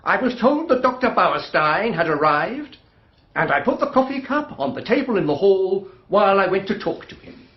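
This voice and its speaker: A man's voice: high voice, very animated, normal speed, echoey room, lo-fi sound. A man playing a defensive, haughty British husband under suspicion in a radio drama, clipped and indignant. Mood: guarded. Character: stiff, defensive, haughty husband suspected of poisoning. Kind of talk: formal radio drama dialogue.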